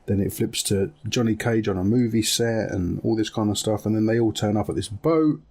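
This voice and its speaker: A man's voice: lowish voice, brisk, darkish tone. A man chatting casually and enthusiastically as a podcast co-host, talking in a loose, conversational way. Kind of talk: casual chat about video game films.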